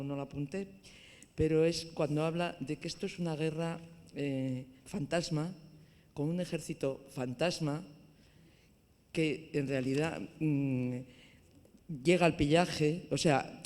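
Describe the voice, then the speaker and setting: A man's voice: fairly high pitch, darkish tone, long reverb tail. Hesitant man with a raised voice. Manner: hesitant, enthusiastic, many restarts. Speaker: book club member. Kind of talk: book club discussion.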